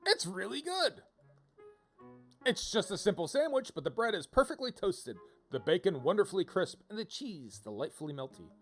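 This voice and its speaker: A man with a slightly high voice chats casually as he streams a game. He rambles, drops wry, playful asides and reads game text aloud, while soft game music plays behind him. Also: very animated, moderate pace. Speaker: game livestreamer.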